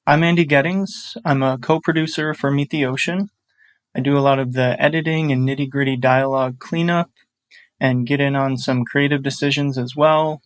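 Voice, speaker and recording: A man's voice: mid-range voice, no room sound. Easygoing man, dry recording. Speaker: co-producer and editor. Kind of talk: casual chat.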